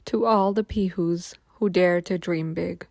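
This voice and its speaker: A woman's voice: on the low side, steady tone, unhurried, creaky, darkish tone, no echo, clean studio sound. A woman reading a scripted children's story as its narrator.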